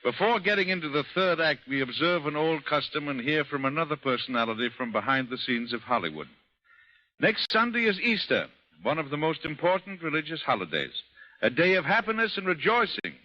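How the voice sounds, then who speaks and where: A man's voice: on the high side, big pitch swings, brisk. A man hosting a radio show, reading a scripted introduction and interviewing a guest in a genial, joking way, on a dull old broadcast recording. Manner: genial, joking, reads smoothly.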